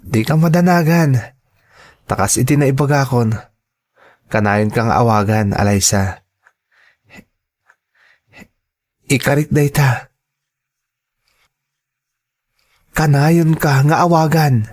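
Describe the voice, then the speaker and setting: A man's voice: medium pitch, animated, unhurried, darkish tone. A man acting in a radio drama as a shy, earnest young man in love, with an Ilocano accent and a hesitant delivery. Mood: reassuring.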